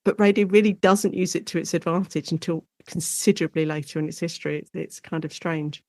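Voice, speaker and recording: A woman guest academic explaining her subject in a conversational interview, chatty and animated, thinking aloud as she goes. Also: on the low side, a little fast, no echo, studio quality.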